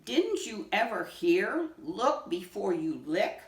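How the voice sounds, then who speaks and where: Little male storybook ant, as a woman reads him: indignant, fair-minded, brisk scolder, animated, in an echoey room. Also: medium pitch, recorded from a distance.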